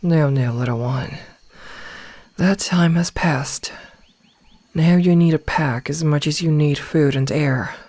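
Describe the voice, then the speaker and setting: A woman playing a gentle, motherly werewolf in a soft ASMR roleplay, soothing and reassuring as she guides a newly turned packmate. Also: low-pitched, slow, no room sound. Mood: calm, explaining.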